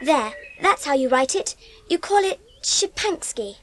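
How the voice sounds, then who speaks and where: A polite, eager English girl in a children's story drama. Her voice is very high and lively, frank and earnest in a childlike way. There is slight noise in the recording. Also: a little slow. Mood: earnest, pleading. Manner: earnest, well-mannered, childlike.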